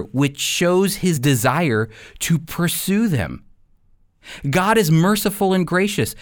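A man's voice: fairly high pitch, no room sound. Earnest male preacher, very dry close sound. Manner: earnest, deliberate phrasing with emphatic repetition. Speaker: preacher and Bible teacher, program host. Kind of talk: sermon, expository Bible teaching.

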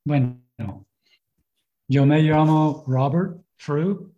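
A man's voice: on the high side, extremely slow, warm tone. A man with an American accent, a native English speaker, introducing himself as an interview guest. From Indiana, USA. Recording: dull video call audio.

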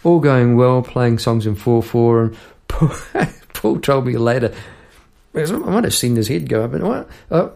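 A man's voice: mid-range voice, lively intonation, a little slow, vocal fry. A middle-aged man hosting a songwriting podcast, musing in a rambling, casual way with many restarts. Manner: rambling and warm-hearted, with frequent restarts and musing asides. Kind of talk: casual reflective podcast talk about songwriting. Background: guitar being played.

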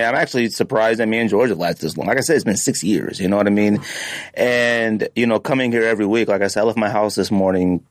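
A man's voice: lowish voice, dry recording. An American man hosting a podcast in casual, rambling chat, often checking in with the listener as he goes. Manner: rambling and conversational, with frequent "you know". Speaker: podcast host. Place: podcast studio.

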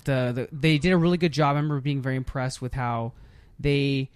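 A man's voice: mid-range voice, fairly even intonation, fairly quick, clean tone, no echo, clean studio sound. A man chatting casually as a podcast host reviewing films, opinionated and free with swearing. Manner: profane, opinionated. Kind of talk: casual film review chat.

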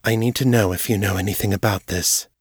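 Man reading a weary principled vampire woman, direct, pressing, lowish voice, dry. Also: steady tone, a little fast, breathy edge, no room sound. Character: blunt, principled female vampire, weary and stubborn.

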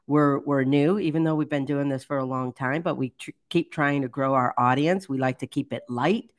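An older woman with a low voice, casually co-hosting a live chat show, chatty and laughing easily. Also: moderate pace. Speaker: livestream co-host.

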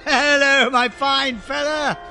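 An actor playing an elderly English former miser, giddy and overjoyed, in an excitable, stammering, theatrical radio drama style. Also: male voice, very high-pitched, slow pace, faint music behind, exaggerated voice. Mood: merry, excited. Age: older.

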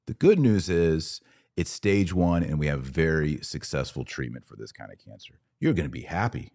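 Deep-voiced man with very animated intonation. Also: moderate pace, creaky. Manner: emphatic, plain-spoken, explanatory. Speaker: podcast host. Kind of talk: conversational religious commentary.

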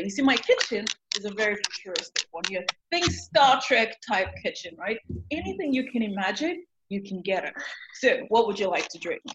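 Woman, fairly high voice, chatty podcast host, effusive, casual, muffled lo-fi recording. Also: a little hiss or hum. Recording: dull, lo-fi sound.

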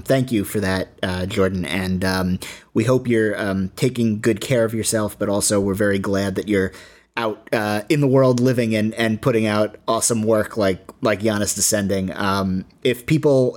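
A man's voice: fairly low pitch, darkish tone. A man hosting a casual podcast interview, speaking politely with somewhat hesitant phrasing.

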